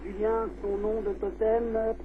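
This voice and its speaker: A high-voiced man comes through a thin, tinny radio link with faint noise. He talks like a scout leader on amateur radio, using procedural call phrases and pausing to hesitate. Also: completely flat delivery. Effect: radio transmission.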